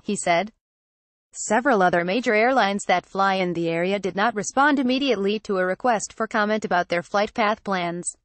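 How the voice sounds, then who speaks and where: A woman delivers scripted news narration as a news reader. Her voice sits at a middle pitch. The recording is very dry, with no room sound around the words. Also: dry recording.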